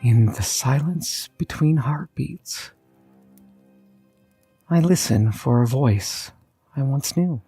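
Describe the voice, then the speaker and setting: Creaky, melancholy forgotten poet, voiced by a man. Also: medium pitch, animated, dark tone, voice pushed up, heavily acted. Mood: wistful, searching. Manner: slow, solemn recitation. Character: forgotten solitary poet, melancholy, tender, resigned. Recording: long reverb, music underneath.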